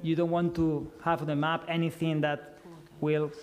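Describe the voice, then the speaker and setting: Halting high-voiced man at a lecture. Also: little pitch movement. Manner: halting, many restarts, tag "no?". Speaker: speaker answering audience questions. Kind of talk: conference Q&A. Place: gallery lecture room with audience. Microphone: headset mic.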